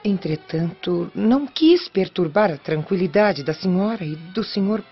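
A woman's voice: fairly low pitch, animated, a little slow. Sly, deferential Roman servant woman. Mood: feigned deference. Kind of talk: radio drama acting. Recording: muffled radio drama with faint music.

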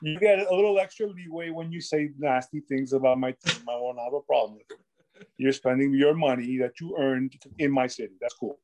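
Guy on a sports panel going off, loud and emphatic, swears a lot. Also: medium pitch, normal speed, rough recording. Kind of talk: casual sports chat.

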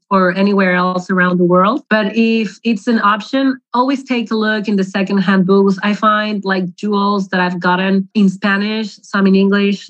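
A woman from Latin America, a mother talking as a podcast guest in a lively casual chat, laughing easily. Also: mid-range voice, no echo, lo-fi sound. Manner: lively, laughs easily, says "you know" a lot.

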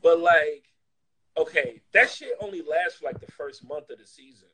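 A man in casual, comedic chat, talking in an animated, slangy, profane joking way. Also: a little high, normal speed, poor audio.

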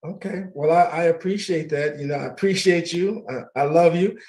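Man, middle-aged, radio host, slightly high voice, easygoing chatter, repeats himself. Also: a little fast. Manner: warm, laughs easily, repeats words. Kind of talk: casual talk radio hosting.

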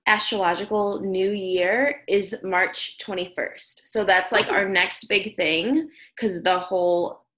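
A woman co-hosting a podcast on a video call, speaking in a sleepy, slightly raspy voice and explaining astrology in casual chat with lots of fillers. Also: medium pitch, fairly even intonation, slow, hardly any pauses, fairly bright tone, low-quality recording. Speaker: podcast co-host.

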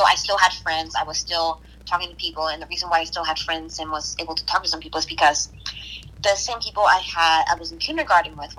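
A woman from Puerto Rico chatting casually on a podcast, hesitant, with restarts and soft laughs. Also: on the low side, slightly rough, low-quality recording. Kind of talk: casual conversation.

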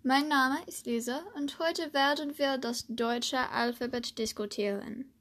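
A woman from the United States, a native English speaker, hosting a podcast and slowly reading a lesson in German with hesitant fillers. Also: high-pitched, a bit bright. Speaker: podcast host, German learner. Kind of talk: scripted language lesson podcast.

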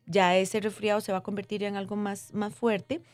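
A woman's voice: mid-range voice, little pitch movement, moderate pace, dry recording. A woman speaking as a guest doctor, explaining conversationally in plain terms with diminutives and frequent check-in questions. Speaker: doctor interviewed as guest expert. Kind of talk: conversational medical explanation for lay listeners.

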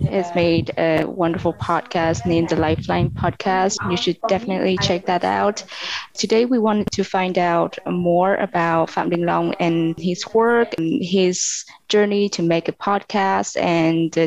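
Low-voiced woman hosting, lo-fi sound. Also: darkish tone. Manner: friendly, scripted welcome. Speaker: podcast host. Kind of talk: podcast introduction and interviewing.

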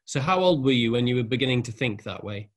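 A man hosting an online conversation event, asking gentle, measured questions and reading out questions from the audience. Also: medium pitch, animated. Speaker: host, moderator. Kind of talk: online panel interview.

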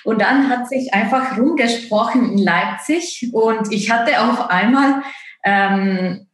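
Woman with an Italian accent, speaks slowly and hesitantly, recorded in a roomy space; interview guest. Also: on the high side. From Italy. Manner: hesitant, many fillers. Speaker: guest, dance course founder. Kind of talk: interview answers.

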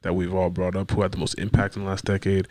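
A man's voice: deep voice, completely flat delivery, quick pace, a little mellow. A man co-hosting a podcast in casual chat, laid-back and rambling, getting sidetracked. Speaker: podcast co-host.

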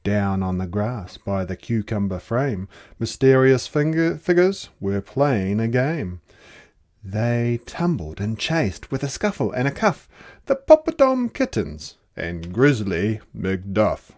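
A storyteller reading a rhyming children's picture book aloud in an expressive, theatrical way. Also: mid-range voice, slow pace. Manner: theatrical, dramatic delivery.

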